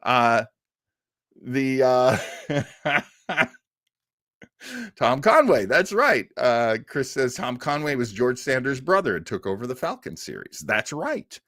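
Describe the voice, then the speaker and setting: An animated man hosts a casual livestream in a chatty, rambling fan style. His pitch moves up and down a lot, and the sound is close and dry. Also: slow pace, pauses often, no echo. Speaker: host of an old-time radio show. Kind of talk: casual livestream chat with audience.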